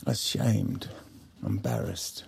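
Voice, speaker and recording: An actor playing a wounded, self-conscious husband in an audio drama, stammering and hesitant with some resentment. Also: male voice, on the low side, big pitch swings, slow pace, vocal fry, slightly rough, exaggerated voice. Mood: bitter, wounded. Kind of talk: naturalistic audio drama acting.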